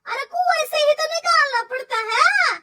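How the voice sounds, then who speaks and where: A woman voicing a stubborn old village mother-in-law in a cartoon story, exclaiming loudly in rustic speech. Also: very high-pitched, unhurried, voice pushed up, heavily acted. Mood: scolding.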